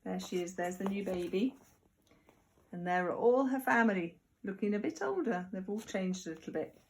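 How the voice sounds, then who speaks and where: A woman reading a picture book aloud to young children like a primary teacher, expressive and deliberate, with chatty asides. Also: mid-range voice, slight background noise, low-quality recording. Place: at home.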